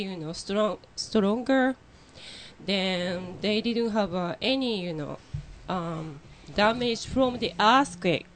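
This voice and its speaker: A woman's voice: mid-range voice, slight background noise. A woman from Japan with a Japanese accent, speaking English as a second language as a radio interview guest, hesitant and full of fillers at a studio mic. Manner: halting, with many fillers and non-native phrasing. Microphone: studio microphone.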